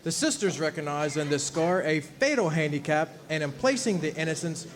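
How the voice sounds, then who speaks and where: Actor as earnest high-voiced courtroom attorney. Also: male voice, animated, normal speed, lightly acted. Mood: earnest, formal. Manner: formal, oratorical. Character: earnest, eloquent 1900s attorney pleading for orphans. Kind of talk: radio drama courtroom speech.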